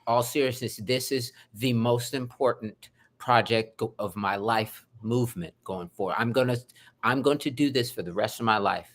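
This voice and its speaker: Effusive, animated man talking. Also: medium pitch, moderate pace. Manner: effusive, animated, swings loud and soft. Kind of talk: energetic interview conversation.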